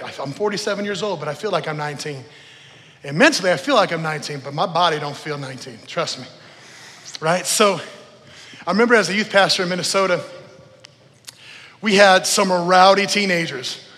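A man's voice: on the high side, a bit bright. A middle-aged man preaching informally to a congregation, telling stories in a conversational, emphatic way, repeating phrases and checking in with his listeners. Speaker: preacher, former youth pastor. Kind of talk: informal storytelling sermon to a congregation. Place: church service in a large room. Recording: reverberant hall sound.

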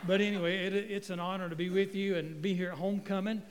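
A middle-aged guest pastor from North Carolina, preaching in a folksy, joking way with stumbling restarts. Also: male voice, high voice, steady tone, fast, long reverb tail, background noise. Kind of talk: sermon. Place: church sanctuary. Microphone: handheld microphone.

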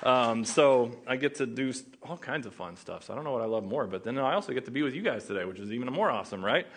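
Chatty pastor with an Oklahoma accent. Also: male voice, mid-range voice, lively intonation, quick pace, slightly rough. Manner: casual, joking, chatty with audience. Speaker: guest pastor. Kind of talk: sermon.

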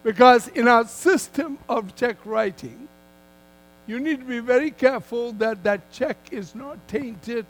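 Older man, very high voice, guest preacher, emphatic sermon delivery, deliberate repeated phrasing. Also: poor audio. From Malaysia.

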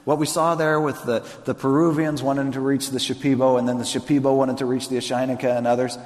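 A man's voice: medium pitch, little pitch movement, fast, a little mellow. A man who is a pastor, preaching earnestly and conversationally, often restarting his sentences. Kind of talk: sermon and onstage interview. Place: large hall with long reverb. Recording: long hall reverb.

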